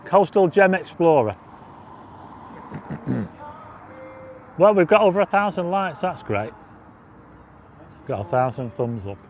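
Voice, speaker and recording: A chatty man talking casually on a livestream, his voice a touch high and going hoarse, with frequent throat clearing. He speaks outdoors on a windy seafront with faint traffic behind him, picked up on a handheld mic. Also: very animated, slow, long pauses, darkish tone. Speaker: livestream host. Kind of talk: casual walking livestream chat.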